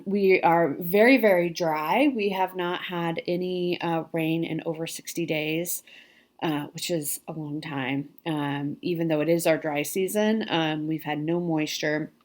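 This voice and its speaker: A low-pitched middle-aged woman talks casually to her viewers, her voice a little congested. She rambles warmly with frequent ums and restarts, like a crafter catching up with regulars. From Pacific Northwest US. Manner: chatty, many ums and restarts. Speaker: cross stitch vlogger. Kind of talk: casual chat to camera.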